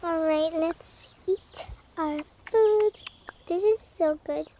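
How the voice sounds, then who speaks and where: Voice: very high-pitched, takes it slowly, mellow, slight background noise, heavily acted. A performer playing a cheerful older sister in an amateur family roleplay, girlish and casual in delivery. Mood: content.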